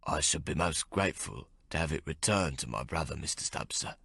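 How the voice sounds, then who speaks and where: A man voicing a calm, gravelly-voiced sailor who speaks in a formal, grave way. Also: very deep voice, a little fast, dry recording, clean studio sound, pitched below the performer's own voice, full character voice.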